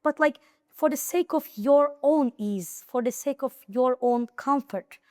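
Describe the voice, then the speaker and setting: Young woman guest with an Azerbaijani accent, earnest and advising, smooth resonant voice, fairly high. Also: unhurried, darkish tone, dry recording, studio quality. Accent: Azerbaijani, Nakhchivan. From Azerbaijan, Nakhchivan region. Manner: earnest and advising, with many fillers and restarts. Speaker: interview guest, IELTS high scorer. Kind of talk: casual interview conversation.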